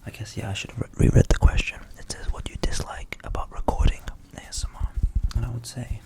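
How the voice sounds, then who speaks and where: A young man who grew up in England with Ukrainian as his first language, whispering softly as an ASMR host answering viewer questions and thinking aloud. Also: medium pitch, flat delivery, fast talker. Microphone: studio USB microphone.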